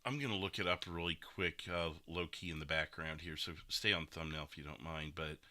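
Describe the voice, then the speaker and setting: A man's voice: a bass voice, fairly even intonation, a little fast, pushed and bright. A man hosting a podcast in casual, conversational chat. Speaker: podcast host.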